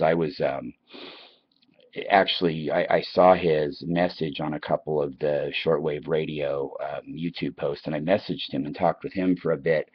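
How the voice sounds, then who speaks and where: A dull, lo-fi recording of a man with an extremely low voice. He talks off the cuff as a video host, musing and halting, drifting into asides as he goes. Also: breathy edge. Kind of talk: rambling unscripted monologue. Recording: dull lo-fi sound.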